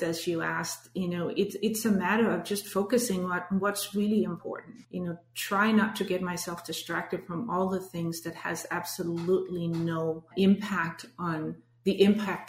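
A woman from Denmark with a Danish accent, a business coach talking through an interview in an earnest, reflective way. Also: lowish voice. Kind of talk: casual interview conversation. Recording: roomy echo.

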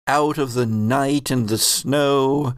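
A man's voice: medium pitch, fairly even intonation, slow, no room sound, studio quality. A man hosting a tabletop roleplay podcast as game master, with an announcing delivery.

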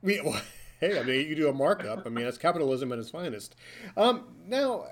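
A man's voice: on the high side, sing-song, fairly quick. A man in his forties hosting a podcast from a hotel room, chatty and joking with his co-host. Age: 40s. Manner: joking, chatty, playful ribbing. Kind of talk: casual podcast chat.

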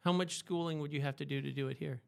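Gravelly-voiced, encouraging male interviewer. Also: on the high side, steady tone. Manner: chatty and encouraging, quick to praise. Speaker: interviewer, host. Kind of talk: casual conversational interview.

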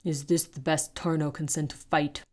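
Woman doing a scarred half-orc boss, snorty and mocking, broken talk, lowish voice. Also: level tone, normal speed, a little mellow. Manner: broken speech, snorting and derisive. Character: scarred male half-orc gang leader, brutish and mocking.

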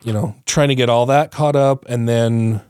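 A man's voice: medium pitch, steady tone, normal speed, a little mellow, smooth, no room sound, clean studio sound. A man co-hosting a podcast in casual chat, his voice still a bit congested and nasal, rambling, swearing freely and laughing often. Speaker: podcast co-host.

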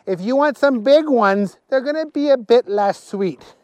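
A man's voice: very high-pitched, expressive, measured pace, a little mellow, dry recording, dull audio, pitched above the performer's own voice. A man voicing a cheerful, eager non-human villager in a children's story, coaxing and full of relish. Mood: eager, proud. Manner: gleeful, enthusiastic, coaxing.

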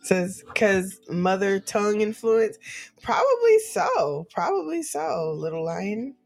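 A woman's voice: mid-range voice, huge pitch swings, creaky, a little mellow. A woman chatting casually as a co-host, reading viewer comments and laughing often. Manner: slow, giggly, tries playful accents. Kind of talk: casual chat.

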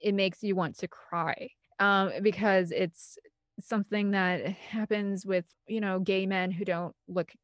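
A woman's voice: medium pitch, fairly even intonation, a little slow, no echo, clean studio sound. A woman giving an interview as a guest, telling personal stories in a candid, rambling, earnest and conversational way. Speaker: interview guest, film composer and director.

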